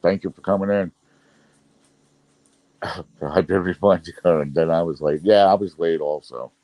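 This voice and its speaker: A man's voice: low voice, normal speed, warm tone, no echo, low-quality recording. A middle-aged man with a Brooklyn New York accent, chatting casually on a livestream, reading the chat and rambling with frequent laughs. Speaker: livestream host.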